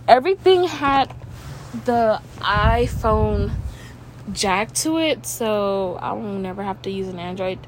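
A woman's voice: a little high, a little mellow, a little hiss or hum, rough recording. Stuffy-nosed young woman rambling at home. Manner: rambling, trips over words, swears casually. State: stuffy nose. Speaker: podcast host. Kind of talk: casual rambling monologue.

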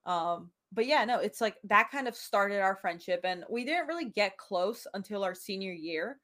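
A woman's voice: medium pitch, lively intonation, normal speed, a bit bright. A young woman hosts a casual podcast conversation. She is chatty and talks in a medium voice. She tells her stories by acting out bits of dialogue. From United States. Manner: chatty storyteller, acts out quoted dialogue. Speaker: podcast host. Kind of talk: casual chat.

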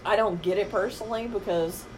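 A middle-aged woman with a very deep voice, chatting bluntly and matter-of-factly as a podcast guest. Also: fairly quick, darkish tone, a little hiss or hum, low-quality recording. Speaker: guest, the host's mother. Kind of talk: casual chat.

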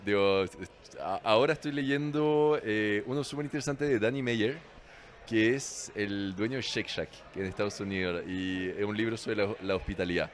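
Low-voiced man. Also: moderate pace. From France. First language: French. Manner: relaxed, chuckling, restarts words often. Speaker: bar general manager, guest. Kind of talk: casual interview chat.

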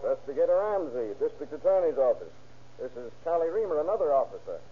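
A self-assured investigator in a 1930s radio crime drama. His brisk voice is husky and very animated in pitch. The old recording sounds muffled.

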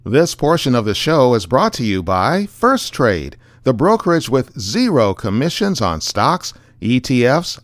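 Male podcast host, upbeat sales read, lively melody, dry studio sound. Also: medium pitch, no room sound. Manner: upbeat, emphatic sales delivery. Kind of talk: read advertisement and sign-off.

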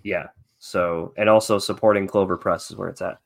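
A man with a low voice and plenty of fry in it. He chats casually as the host of a podcast interview. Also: steady tone, normal speed. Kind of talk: casual chat.

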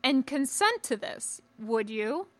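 A stern, ancient little alien master, played by a woman narrator, speaks in a high, commanding voice for a space-fantasy audiobook. He turns his sentences backwards and punctuates them with questioning hums. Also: very animated, slow pace, fairly bright tone, heavily acted. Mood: grunting, challenging. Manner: inverted syntax, questioning hums. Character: stern old male alien Jedi master, small and commanding.

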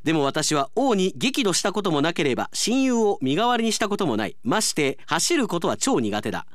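A man's voice: sits high, very animated, brisk, no echo, studio quality. A man hosting a radio segment, chatting and reading out listener mail as an announcer.

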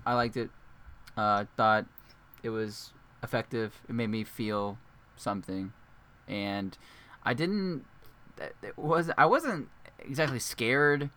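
A man hosting a casual movie podcast, loud and jokey, laughing often. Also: medium pitch, takes it slowly. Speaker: podcast host.

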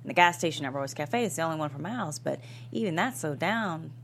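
An actress playing a plainspoken, patient small-town churchgoing woman, dry and gently teasing, in a comedy script read. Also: sits low, expressive, quick pace. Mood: matter-of-fact. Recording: clear background hum and noise.

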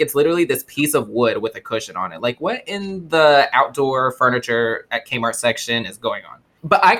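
Animated man joking crudely. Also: medium pitch. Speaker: podcast co-host. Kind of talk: casual podcast chat.

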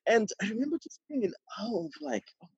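A man's voice: very high-pitched, expressive, fast, vocal fry, darkish tone, husky, no echo, highs cut off. Reflective man in his thirties, dry sound. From Burundi. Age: 30s. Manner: reflective, many ums and restarts, laughs often. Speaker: podcast guest. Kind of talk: casual interview conversation.

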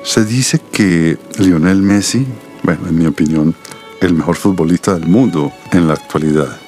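Man acting a deep-voiced, earnest Colombian doctor. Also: darkish tone, faint music behind, lightly acted. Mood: confiding, grave. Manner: measured, solemn, articulate. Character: earnest, confiding middle-aged doctor and protective father. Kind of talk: radio soap opera acting.

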